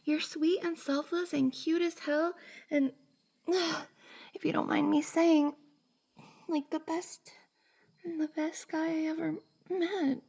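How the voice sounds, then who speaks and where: A woman playing a shy, flustered young cosplayer in an intimate roleplay ASMR drama, hesitant and breathy, often sighing and dropping to a whisper. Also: high-pitched, fairly even intonation, takes it slowly, pauses often, darkish tone, heavily acted. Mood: surprised, tender.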